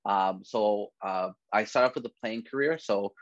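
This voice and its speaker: A man in his thirties from the Bay Area, a physical education teacher presenting over slides in a relaxed way, with many fillers and restarts. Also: on the low side, moderate pace, no room sound. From San Francisco Bay Area. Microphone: video call. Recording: dry, dull lo-fi video call audio.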